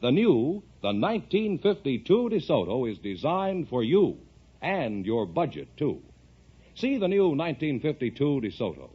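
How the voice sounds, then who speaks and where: A male announcer delivers an emphatic sales pitch in 1950s radio style. His voice is very animated and rather high, with a husky edge, on a muffled vintage recording. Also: moderate pace. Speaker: commercial announcer.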